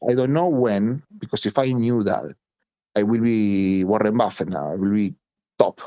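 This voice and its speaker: A man's voice: on the low side, normal speed, mellow, no room sound. A man from Italy speaking English as a second language, blunt and emphatic, swearing and repeating points in a casual voice chat. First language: Italian. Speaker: voice chat participant.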